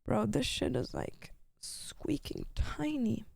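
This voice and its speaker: A woman's voice: mid-range voice, wildly swooping pitch, slow pace, gravelly, a little mellow, dry recording, whispered. A young woman streaming, chatting casually, swearing a lot and saying "like" and "bro" constantly. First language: German. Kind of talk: casual chat on a gaming stream.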